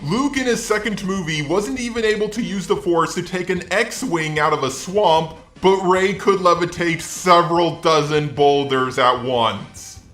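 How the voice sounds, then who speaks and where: Echoey, high-pitched robotic man's voice. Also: unhurried, hardly any pauses, a bit bright, soft background music. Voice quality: synthetic robot voice. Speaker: video essayist. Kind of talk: scripted opinionated commentary.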